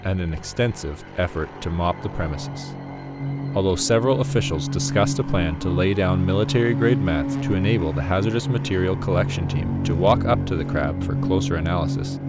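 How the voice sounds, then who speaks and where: Deep-voiced deadpan male narrator over loud music. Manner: deadpan, formal. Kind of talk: scripted narration of a mock documentary horror story.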